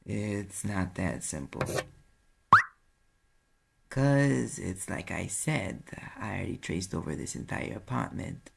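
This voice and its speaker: A man voicing a sarcastic demon private eye in an audio roleplay, with a New York accent and a gravelly, husky voice. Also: sits low, expressive, takes it slowly, pauses often, background noise, exaggerated voice. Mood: explaining, weary. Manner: sardonic, casual, swearing, defensive. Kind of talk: audio roleplay acting, conversational.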